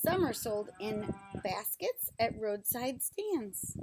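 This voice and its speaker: A woman reading a children's book aloud like a teacher, pausing to explain things simply and ask questions. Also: a little high, big pitch swings, heavy background noise.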